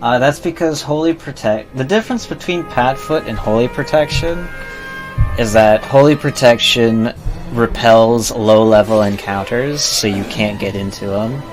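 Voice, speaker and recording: A man's voice: medium pitch, unhurried. A man giving casual, laid-back gameplay commentary with dry asides, as a live streamer playing a video game. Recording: game music behind the voice. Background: video game music.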